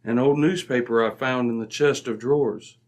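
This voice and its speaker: Male actor as composed British sleuth, brisk, exact, self-assured, classic radio mystery style. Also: medium pitch, fairly even intonation, darkish tone, close to an everyday voice. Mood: calm, wry. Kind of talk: old-time radio mystery acting.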